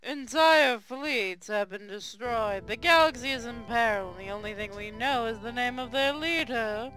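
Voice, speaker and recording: A woman's voice: a little high, normal speed, fairly bright tone, faint music behind, lightly acted, rough recording. A woman playing an earnest space navy officer in a visual novel read-aloud.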